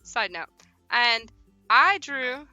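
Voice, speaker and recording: Female game streamer, high and very bright voice, animated slangy chatter and read-aloud text, music underneath. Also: very slow, no echo, lo-fi sound. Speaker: host of a visual novel livestream. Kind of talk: casual livestream chat and reading game text aloud.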